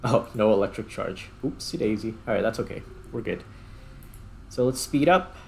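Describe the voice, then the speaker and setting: A man with a low voice that often drops into creaky fry hosts a casual gameplay session. He thinks aloud in short, halting remarks, with faint noise behind him. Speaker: gaming host.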